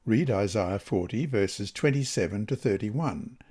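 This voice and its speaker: A man with a soft-edged, mellow voice reads a devotional study lesson aloud. His delivery is measured and deliberate, with lively rises and falls in pitch that give the scripted text and scripture an expressive shape. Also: husky. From Australia. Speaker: reader of Bible study lessons.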